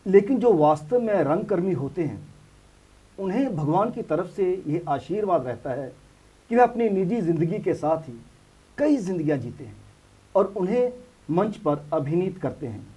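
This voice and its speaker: A man's voice: high voice, big pitch swings, normal speed, mellow, husky. A man hosting a studio interview, often affirming and echoing his guest. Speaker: interviewer, programme host.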